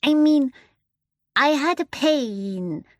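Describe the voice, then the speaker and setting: A woman reading aloud and voicing a bold, talkative baby boy with a loud, cheeky way of speaking. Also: sits high, expressive, very slow, no room sound, clean studio sound, pitched above the performer's own voice, full character voice. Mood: sheepish.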